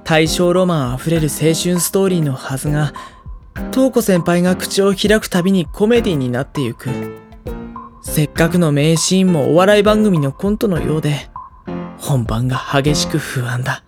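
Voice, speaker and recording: Man playing a gentle anxious schoolboy narrator, music behind. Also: fairly high pitch, expressive, moderate pace, close to an everyday voice. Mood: wry, uneasy. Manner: wry, worried inner monologue. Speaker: narrator and lead character. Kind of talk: audio drama narration and dialogue.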